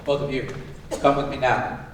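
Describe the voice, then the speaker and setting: A man's voice: medium pitch, steady tone, normal speed, close to an everyday voice. A man playing a brusque, commanding prison guard in a staged theatre reading. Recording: echoey hall, distant, faint noise.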